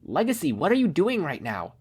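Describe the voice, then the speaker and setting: A man voicing a brisk, dry-humoured female hero mentor in a story, with a commanding manner. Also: on the high side, huge pitch swings, slightly rough, voice pushed up, exaggerated voice.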